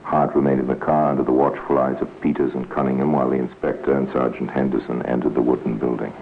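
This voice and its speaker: A man narrating a 1950s radio crime drama in a measured, solemn, dramatic way, as a grave storyteller. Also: extremely low pitch, flat delivery. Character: grave male storyteller of a police crime tale. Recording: tinny, noisy 1950s radio recording.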